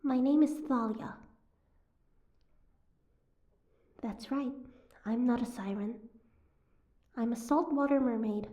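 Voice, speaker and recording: A woman acts out a young saltwater mermaid held captive, in an audio roleplay. Her voice sits fairly high with a soft, mellow tone. She is guarded and sardonic, with a prickly, teasing edge. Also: measured pace, natural voice. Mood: wry, guarded.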